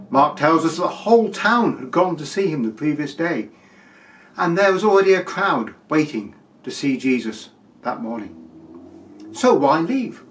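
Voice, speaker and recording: Man, preacher, fairly high pitch, explanatory sermon delivery, emphatic pauses.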